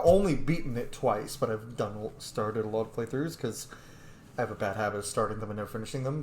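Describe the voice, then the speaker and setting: A man giving casual, rambling gameplay commentary as a Let's Play host, informal and chatty. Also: medium pitch. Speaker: video game streamer, Let's Play host. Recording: roomy mic with faint noise.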